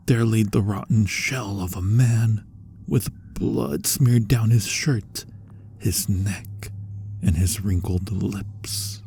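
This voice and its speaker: An anguished vampire confesses to a lost love in an ASMR audio drama, played by a man. His delivery is very slow and hushed, with dramatic pauses. The voice is dark and soft with a gravelly creak. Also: lowish voice, slight background noise. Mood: haunted, dreading. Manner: hushed, breathy phrase endings, deliberate dramatic pauses.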